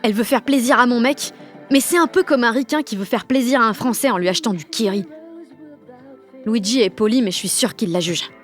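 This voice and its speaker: A woman's voice: on the high side, a little fast, faint music behind, lightly acted. An actress playing a blunt, fiery sixteen-year-old French girl who narrates an audio drama in sardonic slang. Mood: wry. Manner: colloquial, heavily slangy, sardonic.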